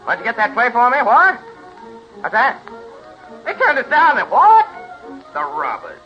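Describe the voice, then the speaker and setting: An actor playing a bossy, scatterbrained movie mogul, pompous and blustering in 1930s radio comedy style. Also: male voice, very high voice, huge pitch swings, unhurried, full character voice. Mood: outraged. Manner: pompous, blustering, barking orders. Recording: dull 1930s radio recording with music.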